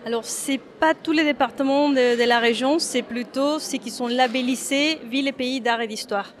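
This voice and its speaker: A hesitant woman from Mexico with a somewhat high voice answers an interviewer's questions about her work. She restarts her sentences often and fills pauses with "uh" as she searches for words. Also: slight background noise. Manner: hesitant, many fillers and restarts. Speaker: photographer. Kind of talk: interview at an exhibition opening.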